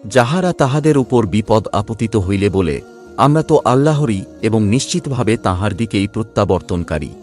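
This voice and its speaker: A man narrating a scripture translation in a formal, solemn scripted reading with measured phrasing. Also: on the low side, lively intonation, a little mellow, faint music behind.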